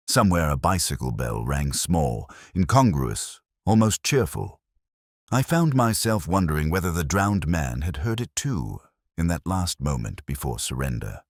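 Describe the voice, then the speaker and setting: A man playing a melancholy middle-aged English police detective, narrating in a measured, literary and wistful way as if from a notebook. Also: very deep voice, dry recording, studio quality, exaggerated voice. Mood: brooding. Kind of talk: scripted first-person dramatic narration.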